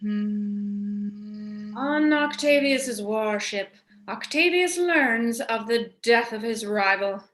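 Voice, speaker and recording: A woman's voice: mid-range voice, animated, drawn-out words, long pauses, a bit bright. A woman playing the storytelling narrator of a radio drama, reading scripted narration. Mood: dramatic. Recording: roomy, echoing sound.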